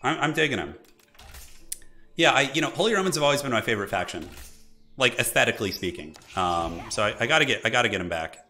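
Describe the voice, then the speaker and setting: A man live-streaming a strategy game, chatting casually and enthusiastically with viewers in a slightly raspy voice from an irritated throat. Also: medium pitch, animated, quick pace, sharp tone. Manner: enthusiastic, informal, thinking aloud. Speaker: livestreamer, esports website organizer.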